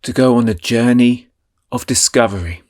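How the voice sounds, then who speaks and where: A man leading a guided meditation in a slow, soothing, drawn-out way. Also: mid-range voice, darkish tone.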